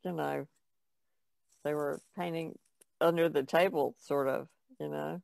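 A woman's voice: low voice, fairly even intonation, unhurried, creaky, a little mellow, no echo. A woman chatting casually as a guest on a podcast. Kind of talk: casual chat.

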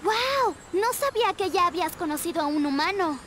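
An actress playing a small, caring and earnest sea friend in a video game. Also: female voice, very high-pitched, lively intonation, a little fast, fairly bright tone, slight background noise. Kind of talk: animated game character dialogue.

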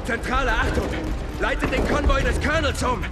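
An actor playing an earnest, dutiful army corporal in a video game, urgent and disciplined. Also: male voice, extremely high pitch, brisk, very noisy. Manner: urgent, disciplined radio-report phrasing. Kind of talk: German video game dub acting. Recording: loud music and gunfire behind.

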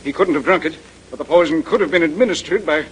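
An actor playing a grave, formal doctor in a 1930s radio mystery, speaking deliberately. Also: male voice, on the high side, close to an everyday voice. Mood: grave, astonished. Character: authoritative male doctor, mature, grave and deliberate. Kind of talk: 1930s radio drama acting. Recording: noisy vintage 1930s radio recording.

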